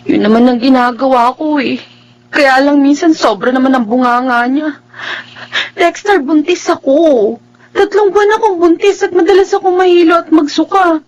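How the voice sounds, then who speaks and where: A woman's voice: high voice, normal speed, close to an everyday voice. A woman playing a devoted, long-suffering pregnant young girlfriend in an emotional Filipino radio drama. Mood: aggrieved. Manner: emotional, plaintive. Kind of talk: Filipino radio drama acting and first-person narration.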